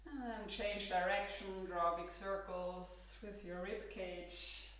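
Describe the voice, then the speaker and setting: A woman with an Austrian accent, a native German speaker, leading a guided yoga class with calm, gentle instructions. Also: mid-range voice, slow pace, far from the mic, a little hiss or hum. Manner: calm, gentle cueing with soft laughs. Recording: roomy echo, from across the room.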